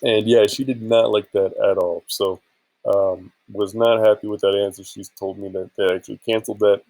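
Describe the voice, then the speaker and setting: A man's voice: lowish voice, level tone, moderate pace, a little mellow, no room sound, poor audio. A man chatting casually in an informal, rambling and hesitant way for a screen-share vlog, sounding a bit tired. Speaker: vlogger and affiliate marketer.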